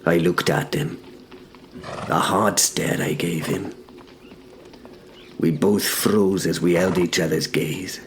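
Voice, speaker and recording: A man narrating a first-person drama in a hushed, deliberate way, like scripted storytelling. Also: deep voice, steady tone, gravelly, background noise.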